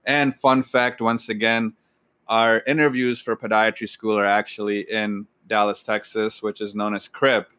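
Guy in his 20s hosting a podcast, low smooth voice, pretty flat, chats to listeners. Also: moderate pace, no echo. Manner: relaxed, asks questions, addresses listeners. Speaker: podcast host. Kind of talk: hosting an interview.

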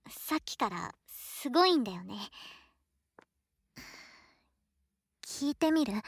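A woman playing a shy, affectionate young student, a boy turned into a girl, talking softly to a close friend in a voice drama. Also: on the high side, dry recording, exaggerated voice. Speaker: voice actor in a situation voice drama. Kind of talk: Japanese situation voice drama acting, intimate one-sided dialogue.

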